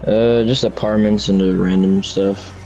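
A man's voice: on the low side, steady tone, measured pace, mellow, highs cut off, slight background noise. A man playing a wary, bossy survivor in an improvised zombie game roleplay, curt and guarded. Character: wary, bossy armed male survivor stranger.

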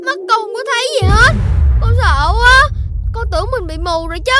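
A performer voicing an excitable, imaginative little boy puppy in a children's cartoon, exclamatory and dramatic. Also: very high-pitched, fairly quick, exaggerated voice. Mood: alarmed. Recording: music under the voice.

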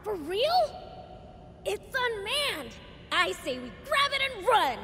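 A woman's voice: very high voice, sing-song, slow, pushed and bright, slight background noise, full character voice. A woman voicing a small, cocky young demon prince in a video game, brash, impatient and bossy. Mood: excited. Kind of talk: video game cutscene acting.

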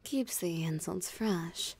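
A woman's voice: fairly low pitch, slow, gravelly, darkish tone, breathy edge, dry recording, heavily acted. A woman voicing a possessive, mocking female vampire in an ASMR roleplay, with a teasing, theatrically smug drawl. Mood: mocking, amused. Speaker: voice actor. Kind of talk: ASMR roleplay audio drama.

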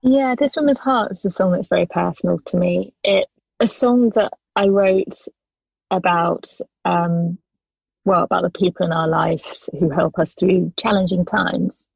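A woman singer-songwriter in her thirties, answering interview questions thoughtfully with many pauses and restarts. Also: on the low side, vocal fry, darkish tone, slightly rough, dry recording, muffled recording.

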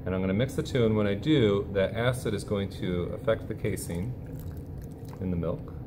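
Deep-voiced man explaining a recipe. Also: moderate pace. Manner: relaxed, explanatory, with fillers like "kinda". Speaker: teacher demonstrating a recipe. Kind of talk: casual cooking demonstration. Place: lab bench indoors. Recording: steady background noise.

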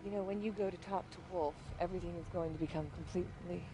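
An actress playing a cool, businesslike female government agent, brisk and matter-of-fact. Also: lowish voice, fairly even intonation, noisy background, close to an everyday voice.

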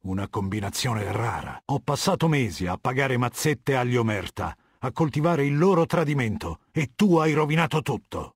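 A husky middle-aged game warlord, cold and bossy, super dry close sound. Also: male voice, medium pitch, no echo. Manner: authoritative, measured, imperious. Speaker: voice actor. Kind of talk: video game dubbing.

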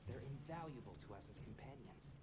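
An actor playing an earnest, humble young village rider in a game cutscene, sincere and a little hesitant. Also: male voice, medium pitch, expressive, a little fast, natural voice. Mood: proud, friendly. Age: young adult. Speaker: video game character. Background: music.

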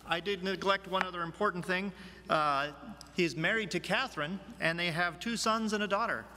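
A middle-aged man making a formal introduction as moderator, speaking a little haltingly. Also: sits high, pushed and bright, a little hiss or hum. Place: large church chapel, at lectern. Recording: echoey chapel, long reverb.

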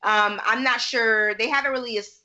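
Woman podcast co-host chatting, bright forward voice, slightly muffled call audio. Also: on the high side, steady tone, normal speed. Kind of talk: casual TV recap discussion. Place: plain room at home, indoors. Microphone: video call, webcam framing.

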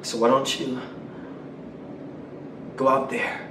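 A man performing a dramatic monologue as a defiant grown son confronting his overbearing father, emphatic and emotional, with deliberate pauses. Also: medium pitch, little pitch movement, lightly acted. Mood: tender, resolute. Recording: roomy, distant mic, loud background noise.

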